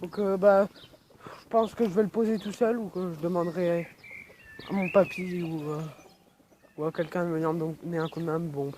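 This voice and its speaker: A man's voice: high voice, fairly even intonation, normal speed, long pauses, darkish tone, a little hiss or hum. A young man with a cold, rambling with lots of fillers as he films his yard outdoors on a phone. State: has a cold. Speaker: vlogger. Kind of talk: casual outdoor vlog. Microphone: phone camera.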